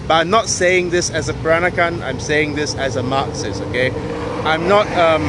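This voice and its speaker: High-voiced man, British accent, emphatic. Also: background noise. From Malaysia. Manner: emphatic, tags points with "okay?" and "right?". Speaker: vlogger. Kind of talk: opinionated monologue.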